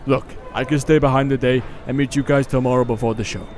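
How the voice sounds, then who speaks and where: A man plays a placating band producer in an amateur radio drama. His voice is average in pitch. Clear background ambience surrounds it. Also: steady tone, quick pace, darkish tone, a little hiss or hum, close to an everyday voice. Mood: calming.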